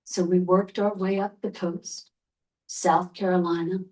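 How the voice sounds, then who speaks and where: A woman storyteller playing a wistful, sea-loving 19th-century Norwegian captain's wife, telling her tale in the first person with a deliberate, dramatic delivery. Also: fairly low pitch, flat delivery, warm tone, far from the mic, close to an everyday voice, poor audio. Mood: grave, dramatic.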